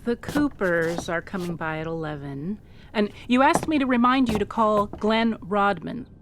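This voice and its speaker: A woman playing a brisk, attentive and concerned office assistant in a radio drama. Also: close to an everyday voice. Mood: brisk, caring. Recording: rumbling background noise.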